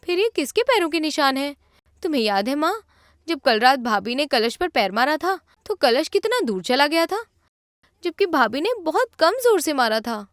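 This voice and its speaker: A woman voicing a playful, suspicious young sister in an animated story. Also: high-pitched, normal speed, no echo, studio quality, voice pushed up. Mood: curious, teasing.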